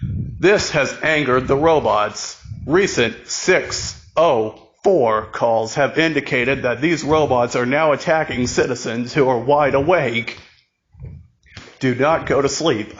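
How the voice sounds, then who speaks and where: A man slowly reading a mock emergency broadcast in a solemn announcer voice, stumbling and repeating words as he goes. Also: mid-range voice, highs cut off.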